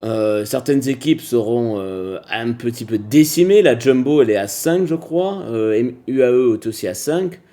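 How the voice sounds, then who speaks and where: A man's voice: medium pitch, expressive, normal speed, few pauses, warm tone. A man co-hosting a cycling podcast, talking casually with many hesitations and restarts.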